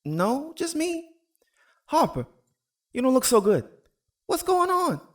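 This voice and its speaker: A nosy but concerned neighbor in an audio drama. Her high, swooping voice is chatty and probing, a bit apologetic. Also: sing-song, takes it slowly, full character voice. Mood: curious, concerned. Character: nosy, concerned next-door neighbor woman.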